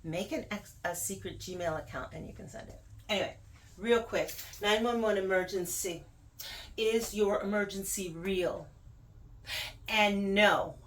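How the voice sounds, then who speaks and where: A woman's voice: lowish voice, a bit bright. A woman speaking as a video host, giving casual, emphatic advice straight to the viewer and repeating words for stress.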